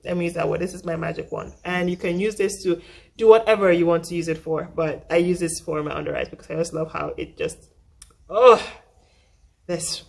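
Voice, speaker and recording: A young woman talks through her makeup brushes in a relaxed tutorial. Her voice is husky and roughened by a flu she is getting over, and it sits a little low. She is chatty and self-deprecating, and she circles back to repeat her points. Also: brisk. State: recovering from flu. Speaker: makeup vlogger. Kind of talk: casual makeup tutorial talk.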